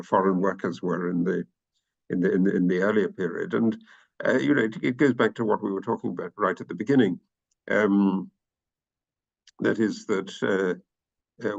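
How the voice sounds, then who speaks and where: Brief: deep-voiced British man, gravelly, hesitant expert guest, muffled lo-fi audio. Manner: hesitant, many restarts and fillers. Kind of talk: podcast interview.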